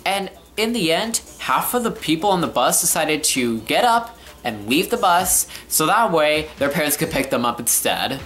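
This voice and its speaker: A man's voice: a little high, sing-song, moderate pace, a bit bright. A young man in his teens or just out of them telling a casual story in an exaggerated, sarcastic way, like a rant to camera. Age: teenager to young adult. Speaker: vlogger telling a personal story. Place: living room, indoors.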